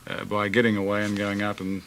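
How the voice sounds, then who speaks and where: A man's voice: fairly low pitch, completely flat delivery, a little fast, gravelly, a bit bright. A male opera singer, a guest on a radio interview, talking in a musing, hesitant way with many restarts. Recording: 1980s radio broadcast with faint hiss.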